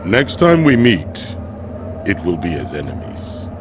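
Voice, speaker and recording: An actor playing a grave, dutiful mercenary in a fantasy game, terse and solemn. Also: male voice, sits low, wildly swooping pitch, a little slow, heavy background noise, exaggerated voice. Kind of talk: English video game cutscene acting.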